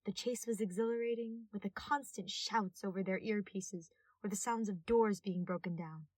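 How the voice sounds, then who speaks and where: A woman's voice: medium pitch, normal speed, no echo, recorded from a distance. A woman reading scripted fiction aloud as a narrator. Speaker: podfic narrator.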